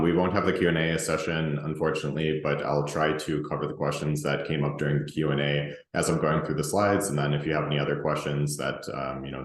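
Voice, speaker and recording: Very low male voice, relaxed webinar presenter, roomy echo. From Russia, grew up in the US. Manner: relaxed, conversational, many ums and restarts. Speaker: presenter and group organizer.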